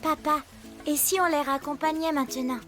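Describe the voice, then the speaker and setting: A woman's voice: high-pitched, steady tone, normal speed, music in the background, natural voice. A woman voicing a small, trusting baby seal boy in a cartoon, childlike, earnest and inquisitive. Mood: happy, earnest. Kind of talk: dubbed animated series acting.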